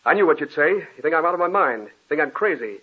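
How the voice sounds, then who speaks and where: Paranoid haunted husband, curt agitated delivery, husky voice, tinny old radio sound. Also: male voice, fairly high pitch, animated, quick pace. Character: haunted man, tense, paranoid, sleepless. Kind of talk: 1940s radio acting.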